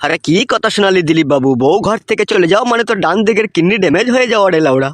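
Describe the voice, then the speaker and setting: A man acts out a nosy, cheeky village man from a comedy cartoon, with a rural West Bengal accent. He talks extremely fast in a high voice, crude and pushy as he hands out advice. The sound is close and dry. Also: expressive, no room sound. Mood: teasing. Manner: crude, swearing, teasing and insistent. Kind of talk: comic cartoon dialogue.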